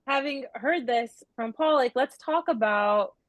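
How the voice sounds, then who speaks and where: A woman's voice: fairly high pitch, a little slow, dry recording, lo-fi sound. A woman moderating a festival Q&A, chatty and casual, full of "like" and "right?".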